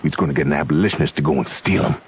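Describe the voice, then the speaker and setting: A man's voice: a bass voice, very fast, a little hiss or hum. A man playing a hopeful, devoted runaway enslaved man with a Southern American accent and heartfelt dialect speech in an old-time radio drama. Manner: heartfelt dialect speech, refers to himself by name. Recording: muffled old radio recording.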